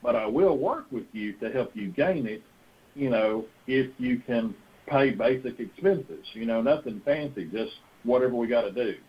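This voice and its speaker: A man speaks in an earnest, conversational storytelling style over a muffled, lo-fi conference phone line. His manner is folksy, and he often restates a point in several ways for emphasis. His voice sits at an ordinary middle pitch. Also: lively intonation, normal speed. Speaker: host of a conference call, pastor. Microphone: telephone. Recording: dull, lo-fi conference phone call.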